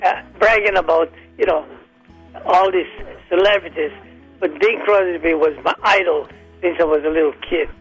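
An older man, an entertainer guest, reminiscing in casual chat with a hoarse, weakened voice and halting, rambling speech full of restarts. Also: high-pitched, faint music behind, rough recording.

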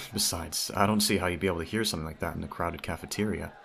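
A man's voice: sits low, steady tone, fast, vocal fry, a bit bright. A man playing a teasing, smitten teenage childhood friend in an ASMR audio roleplay, talking straight to the listener in a conversational way. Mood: puzzled, amused.

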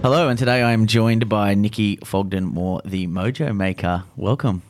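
A man hosting an interview, asking questions in a relaxed, hesitant way. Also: on the low side, moderate pace, soft background music. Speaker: podcast host.

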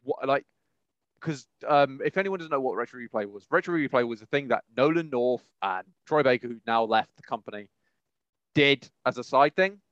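A man's voice: medium pitch, animated, normal speed, fairly bright tone, no echo, highs cut off. A man chatting casually as a podcast co-host in a rambling, enthusiastic way. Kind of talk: casual chat.

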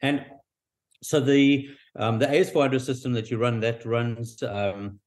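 A man with a South African accent, hosting an interview, asking questions and answering with quick short affirmations. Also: mid-range voice, fairly even intonation, a little mellow. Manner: brisk, frequent short affirmations. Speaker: podcast host.

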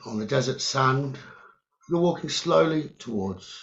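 A man leading a guided meditation in short, halting phrases with long pauses and a creaky voice. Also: medium pitch, expressive, fairly bright tone. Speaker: guided meditation leader, psychic medium. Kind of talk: slow guided meditation narration. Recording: dull, lo-fi sound.

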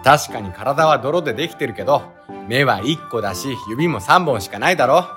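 Actor as laid-back young man, lively intonation, know-it-all explanations, comic radio drama, light music behind. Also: mid-range voice, brisk, close to an everyday voice. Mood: explaining. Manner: casual, matter-of-fact explaining.

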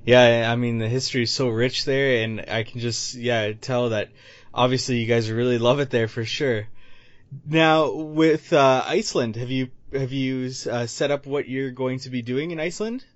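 A man's voice: medium pitch, steady tone, normal speed, dry recording. Man hosting, dry lo-fi sound. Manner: friendly, lots of short affirmations. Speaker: host. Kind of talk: casual interview chat. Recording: dry, dull lo-fi recording.

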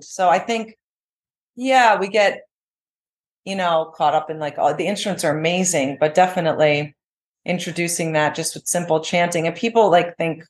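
Raspy lady yoga teacher on a podcast, kinda low voice, lots of "like". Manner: enthusiastic, many "like" fillers. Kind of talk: casual podcast chat.

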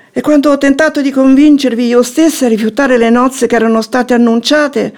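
An actress playing a shrewd, composed wife who feigns affection, with formal, eloquent theatrical phrasing in a radio drama. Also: female voice, a little high, vocal fry, darkish tone. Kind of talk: theatrical radio drama acting of classic comedy.

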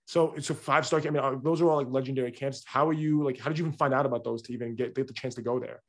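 A man's voice: medium pitch, steady tone, very fast. A man hosting a casual interview podcast, asking eager, rambling questions full of fillers. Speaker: podcast host.

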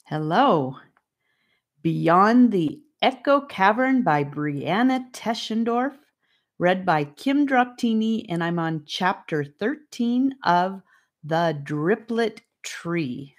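A woman's voice: mid-range voice, animated, takes it slowly. A woman reading a storybook aloud as an audiobook narrator. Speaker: audiobook reader.

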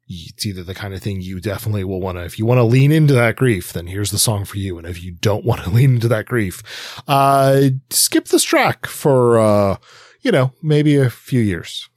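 A man with a low-pitched voice talks as a podcast co-host in a casual discussion. He is thoughtful and halting, pausing often as he works through his points. The sound is close and dry. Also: darkish tone, dry recording.

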